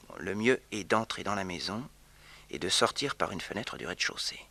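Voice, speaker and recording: A man's voice: low voice, animated, fairly quick, vocal fry, pushed and bright, full character voice. A man playing a young detective who tells his adventure with suspense, in a 1960s radio serial. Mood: hushed, wary. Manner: storytelling, suspenseful, addressing listeners. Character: jaunty young male detective telling his own adventure.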